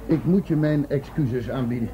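An actor playing a genial, self-important senior police inspector in a radio drama, formal and confiding. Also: male voice, medium pitch, moderate pace, lightly acted. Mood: awkwardly confiding. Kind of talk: Dutch radio drama acting. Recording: dull, faintly noisy radio recording.

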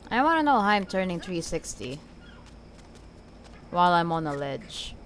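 A woman's voice: on the low side, sing-song, moderate pace. A young adult woman giving casual, slangy, reactive let's-play commentary. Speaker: gaming video creator. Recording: clearly noisy, game ambience behind. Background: game ambience.

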